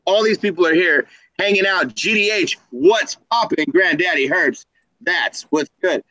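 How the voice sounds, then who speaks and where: A man's voice: sits high, measured pace, pushed and bright, no echo, rough recording. A man hosting a reptile keepers' live stream in a casual, slangy, upbeat style, hyping up the community. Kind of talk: casual live-stream chat with shout-outs.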